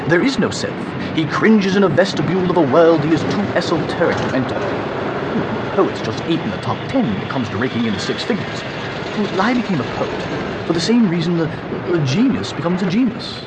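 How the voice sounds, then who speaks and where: An actor playing a pompous, self-pitying older poet in a comic radio play, with a florid, grandiloquent delivery. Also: male voice, fairly high pitch, lively intonation, a little fast, close to an everyday voice. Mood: wry, self-pitying. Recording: noisy original workshop recording.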